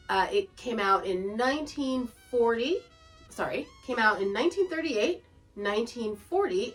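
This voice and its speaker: A woman's voice: mid-range voice, recorded from a distance, poor audio. A woman hosting a podcast, casually explaining and introducing shows in an informative way. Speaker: podcast host.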